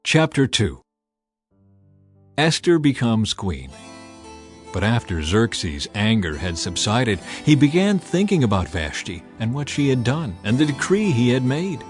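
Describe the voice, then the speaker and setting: A man reading scripture as a dramatic audio narration, in a measured, solemn storytelling manner. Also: medium pitch, sing-song. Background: background music.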